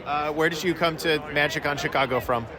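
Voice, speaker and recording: A man's voice: fairly high pitch, steady tone, a little fast. A man casually interviewing attendees on a convention floor, asking questions in a joking, offhand way. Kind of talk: casual vox-pop interviews. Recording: crowd noise on a convention floor.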